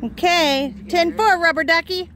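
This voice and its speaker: A middle-aged woman vlogging in casual chat from inside a truck, playful and quick to laugh. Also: very high-pitched, fairly bright tone, slight background noise. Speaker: vlog host. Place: inside a parked pickup truck.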